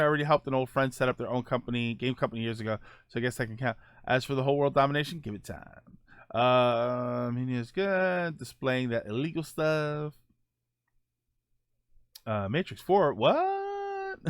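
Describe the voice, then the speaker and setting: A man's voice: fairly high pitch, fairly bright tone, no room sound, clean studio sound. A middle-aged man hosting a casual live stream, answering viewer questions in a rambling way with many fillers and restarts.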